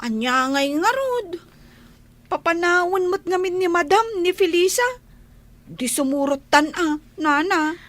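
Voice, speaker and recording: Very high, slow-spoken young maid, played by a woman. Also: lively intonation, a bit bright, full character voice. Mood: pleading, insistent. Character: emotional young housemaid, stubborn and loyal to her friend. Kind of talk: Ilocano radio drama acting.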